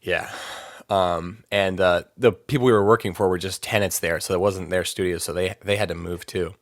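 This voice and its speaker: A man's voice: low-pitched, fairly even intonation, normal speed, no echo, clean studio sound. A man talking as a podcast guest in easygoing chat, rambling with fillers and restarts. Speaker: band vocalist, podcast guest. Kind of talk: casual interview chat.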